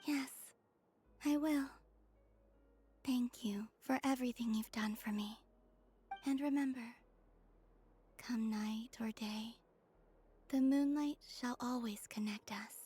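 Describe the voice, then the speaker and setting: Game role: wistful young moon maiden. Soft, whispery, slightly high, measured delivery. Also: female voice. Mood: tender farewell. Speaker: game character voice actor. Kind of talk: scripted dramatic game dialogue. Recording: music behind the voice.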